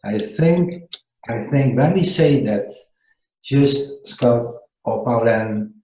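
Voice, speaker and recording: A man with a Dutch accent talks through a radio show in careful, halting English, stopping to hunt for words. His voice is warm and relaxed in tone, and it sounds roomy, as if recorded in an echoey space. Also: mid-range voice. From Netherlands. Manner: halting, searching for words, earnest. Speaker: guest co-host.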